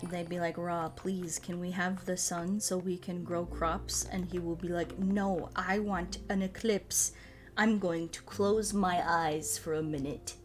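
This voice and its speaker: Casual woman streamer over clear music. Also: fairly low pitch, measured pace. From United States. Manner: animated rambling, frequent swearing. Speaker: streamer chatting with viewers during a puzzle game. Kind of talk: casual livestream chat.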